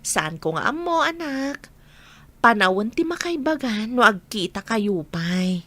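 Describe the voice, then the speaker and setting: A woman's voice: fairly high pitch, very animated, measured pace, pushed and bright, no room sound, heavily acted. Woman playing weary, bitter single mother. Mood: regretful. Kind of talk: Filipino audio drama dialogue.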